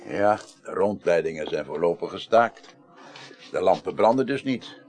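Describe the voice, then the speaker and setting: A man's voice: low voice, lively intonation, moderate pace, darkish tone. A man acting a genial, wry, dignified parliament chairman in a Dutch radio crime drama from the early eighties, chatting and murmuring agreement. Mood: wry, explanatory.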